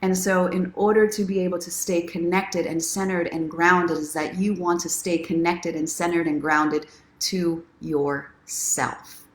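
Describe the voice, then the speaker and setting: A woman's voice: fairly low pitch, steady tone, a little slow, a little hiss or hum. A woman hosting a casual livestream, encouraging and speaking straight to her viewers like a self-help coach. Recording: roomy, echoing sound.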